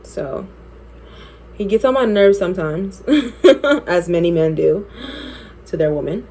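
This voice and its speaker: A woman's voice: on the low side, measured pace, warm tone. A woman in her twenties telling a personal story while doing her makeup, chatty and candid, laughing often. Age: 20s. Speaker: beauty vlogger.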